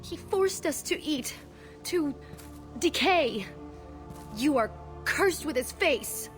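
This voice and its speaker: A woman's voice: high-pitched, expressive, takes it slowly, soft background music. A woman voicing a bitter, accusing outcast in a video game.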